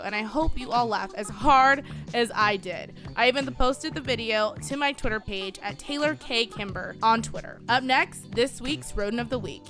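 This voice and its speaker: A woman in her twenties with an American accent, hosting a radio show with scripted, sassy, opinionated political commentary. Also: a little high. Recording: music bed behind voice.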